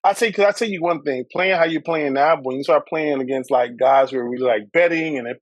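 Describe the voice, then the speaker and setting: A man's voice: a little high, fast talker, no echo. A man from Trinidad, a company founder speaking as a guest, talking emphatically and repeating his phrases with salesman-like enthusiasm. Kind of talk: casual interview chat.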